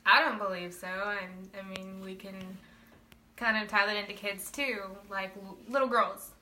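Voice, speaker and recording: A woman's voice: mid-range voice, steady tone, moderate pace, sharp tone, slight background noise. A woman from Texas, answering interview questions earnestly. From San Antonio.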